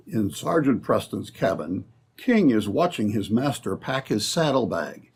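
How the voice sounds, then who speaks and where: A man's voice: on the low side, sing-song, unhurried, a little hiss or hum. A man narrating an old-time radio adventure from a script in storytelling style.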